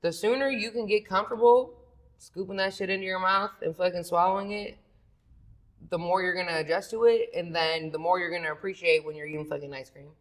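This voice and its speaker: A woman with a deep, raspy, sleepy-sounding voice, talking about singing as a podcast guest in loose, profane casual chat. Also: steady tone, fairly quick. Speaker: singer guest.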